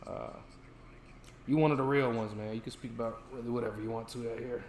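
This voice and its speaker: A man's voice: medium pitch, fairly even intonation, brisk, a little hiss or hum. Laid-back American man in a roomy space. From United States. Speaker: host of a sports watch-along stream. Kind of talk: casual chat. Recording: roomy, echoey sound.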